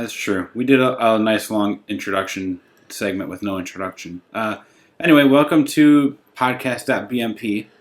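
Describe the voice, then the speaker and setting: A man hosting a podcast in a casual, jokey, self-deprecating chat with his friend. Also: lowish voice. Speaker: podcast host.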